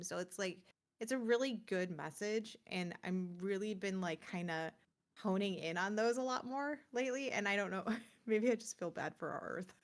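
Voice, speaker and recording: A woman's voice: on the low side, smooth. A woman co-hosting a gaming podcast, chatting casually and informally as she presents game picks in a rambling way.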